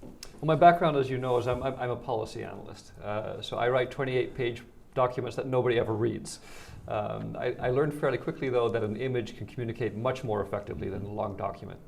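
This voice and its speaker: A man from the Netherlands with a Dutch accent, a guest explaining his work in measured answers. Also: mid-range voice, creaky, a little mellow, a little hiss or hum. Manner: measured, explanatory, some repeated words. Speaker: guest, organization head. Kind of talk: interview answers.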